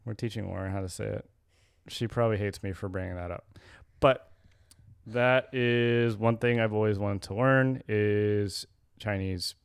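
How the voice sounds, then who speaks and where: A man's voice: on the low side, a little slow, creaky, smooth, dry recording, studio quality. A young adult man co-hosting a casual podcast, rambling and correcting himself as he talks. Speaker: podcast co-host.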